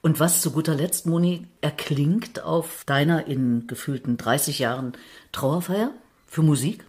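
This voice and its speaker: Female interviewer, low voice, informal conversational delivery. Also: a little slow, darkish tone. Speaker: interviewer, host.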